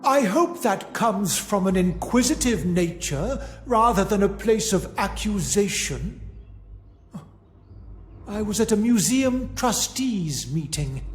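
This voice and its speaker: A man's voice: sits high, echoey room, slight background noise. A man voicing a blunt, pious older bishop in a video game, haughty and curt in manner. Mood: curt, suspicious. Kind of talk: scripted video game dialogue acting. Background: music.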